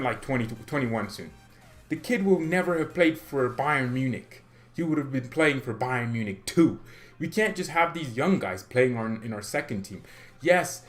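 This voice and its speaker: A young man hosting a fan channel, talking casually and opinionatedly, rambling with restarts and getting heated. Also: a little high. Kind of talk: casual solo commentary.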